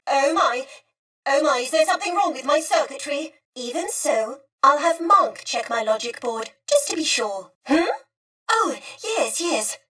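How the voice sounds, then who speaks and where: A woman voicing a polite, warm, old-fashioned female droid in a video game, with prim, formal and earnest diction. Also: high-pitched, slightly rough, recorded from a distance, full character voice. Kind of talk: video game character acting.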